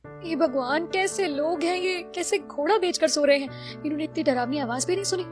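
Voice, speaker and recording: Whiny, high-voiced timid young daughter-in-law played by a woman. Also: moderate pace, music in the background, lightly acted. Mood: whiny, exasperated. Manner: whiny, pleading, dramatic. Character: timid, scheming young daughter-in-law, exasperated by her in-laws. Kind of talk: Hindi cartoon story voice acting.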